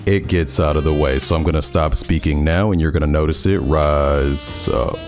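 A man in his thirties hosting a casual, upbeat streaming tutorial, joking as he explains, recorded at home on a studio mic on a boom arm. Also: moderate pace, music in the background. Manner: upbeat, playful, joking asides. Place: home streaming room, indoors. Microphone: large studio condenser on boom arm.

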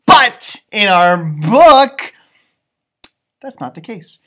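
An American man in his thirties with a playful, expressive, theatrical manner, reading and chatting for young children. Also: high-pitched, very slow. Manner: playful, expressive, theatrical, with sudden loud and hushed turns. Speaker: elementary school storybook reader, teacher. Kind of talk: animated children's read-aloud with chatty asides. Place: room with bookshelves, indoors.

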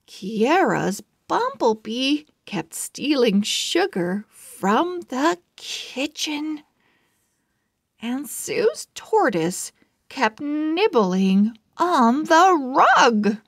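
A woman reading a children's story aloud with deliberate, dramatic phrasing. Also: sits high, very animated, no echo, clean studio sound. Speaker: children's book read-aloud host. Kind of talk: expressive read-aloud narration for young children.

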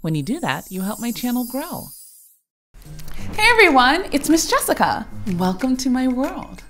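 A woman's voice: sits high, sing-song, normal speed, a bit bright, soft background music. A woman in her thirties hosting a children's learning show, bubbly and eager, laughing often as she talks with her guest. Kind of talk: upbeat kids' show hosting.

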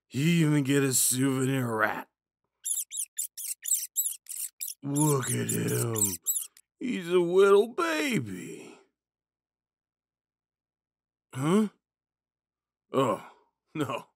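Man acting a slow-talking drunk himbo jock. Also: mid-range voice, vocal fry, no room sound, clean studio sound, exaggerated voice. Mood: tipsy, flirty, then playful, rambling.

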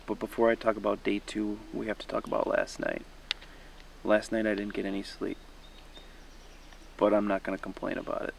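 A man's voice: on the low side, level tone, fairly quick, vocal fry, pauses often, recorded from a distance, noisy background. A man in his thirties, a first-time canoe camper, talking earnestly and a little hesitantly to the camera outdoors in a pine forest. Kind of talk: casual outdoor video diary.

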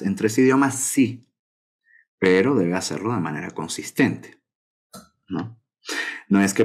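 A middle-aged man gives a conversational conference talk over slides, often adding rhetorical tag questions. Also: fairly low pitch. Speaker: lecturer on academic publishing. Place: indoor room at a desk. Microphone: video call webcam mic.

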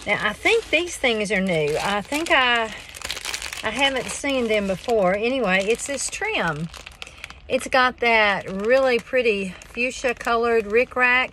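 A woman's voice: on the high side, expressive, measured pace, sharp tone. A woman, chatting casually in a folksy, rambling way as she shows things on a craft vlog. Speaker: craft vlogger. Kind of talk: casual chat, show-and-tell. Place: inside a parked car on a rainy day. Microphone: phone at arm's length. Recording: noisy car phone audio, crinkling packaging. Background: clear noise, crinkling and clicks.

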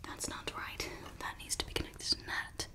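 A woman playing a fussy, playful female evil scientist in an ASMR roleplay, whispering softly and close to the microphone. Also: normal speed, close to an everyday voice. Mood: soothing. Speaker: ASMR creator.

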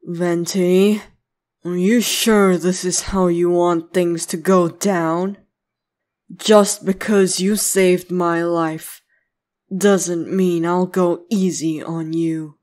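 A woman's voice: on the low side, little pitch movement, slow, darkish tone. A woman voicing a brooding, clingy, possessive young male demon slayer in a boyfriend ASMR roleplay, grumbling and hesitant between sighs. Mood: defensive.

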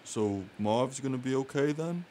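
A man's voice: mid-range voice, animated, unhurried, warm tone, slight background noise, close to an everyday voice. A man playing a naive, good-hearted crook's sidekick in an audio drama, simple and earnest. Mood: wistful. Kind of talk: audio theater acting.

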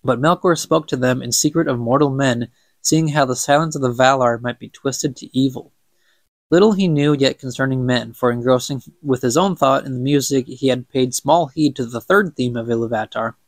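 Stuffy-nosed young man reading aloud, gravelly. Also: medium pitch, normal speed, dry recording. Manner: chatty, stumbles and laughs at own slips. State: stuffy nose. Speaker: podcast host reading aloud. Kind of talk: casual book reading with commentary.

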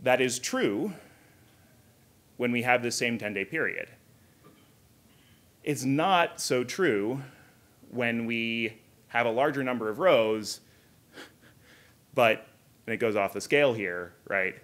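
A man's voice: medium pitch, slow pace, pauses often, fairly bright tone. A man in his thirties speaks at a technical conference in a mid-range voice. He explains things informally and conversationally, dropping in casual asides as he goes. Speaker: conference speaker, software engineer. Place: hotel conference room, indoors.

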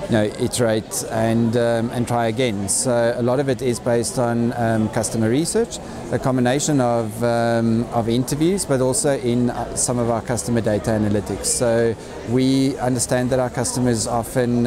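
Man over busy store chatter. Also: medium pitch. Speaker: retail executive being interviewed. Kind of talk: on-camera interview. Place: large hardware store, indoors. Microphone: lapel.

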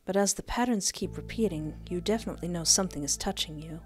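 A playful, expressive woman doing a let's play, reading game text aloud and commenting, close on a desk microphone. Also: lowish voice, fast. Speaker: gaming streamer. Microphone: close desk microphone with headset. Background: game music.

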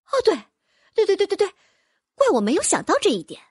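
A woman's voice: extremely high pitch, wildly swooping pitch, a little fast, no echo, studio quality, voice pushed up, exaggerated voice. A woman voicing an eager, accommodating middle-aged noble lady, a mother.

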